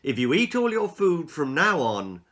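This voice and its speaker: A man's voice: a little high, very animated, unhurried, a bit bright, exaggerated voice. A man voicing a stern, knowing mother who gives matter-of-fact warnings in a children's storybook reading.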